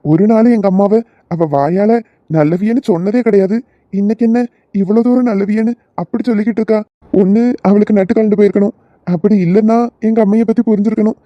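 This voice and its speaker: A bemused young husband in a family drama, acted by a man, talking quickly in a high voice with a southern Tamil Nadu accent. He sounds wry and puzzled, and the recording is dull and lo-fi. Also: steady tone, dry recording, natural voice. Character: bemused young husband caught between wife and mother. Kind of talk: Tamil family skit acting.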